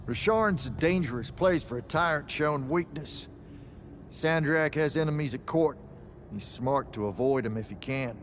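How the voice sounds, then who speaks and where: A man's voice: fairly high pitch, moderate pace, a little hiss or hum, close to an everyday voice. Salty, folksy veteran mage played by a man. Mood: wry, matter-of-fact. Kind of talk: video game cutscene acting.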